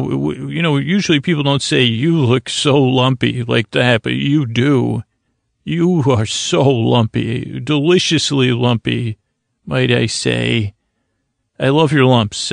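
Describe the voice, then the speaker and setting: Rambling, halting man with a gravelly creak. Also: medium pitch, dry recording, clean studio sound. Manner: meandering, halting, stammering, trailing off mid-phrase; whimsical, mock-earnest. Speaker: podcast host. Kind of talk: rambling, improvised bedtime monologue to help listeners sleep.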